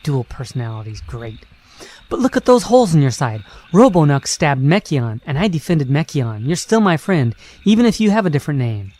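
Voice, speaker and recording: A woman's voice: sits low, sing-song, brisk, warm tone. A woman voicing a cocky, loyal young male hedgehog hero with a flippant, wisecracking manner in an amateur radio drama. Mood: earnest. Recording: noisy amateur recording.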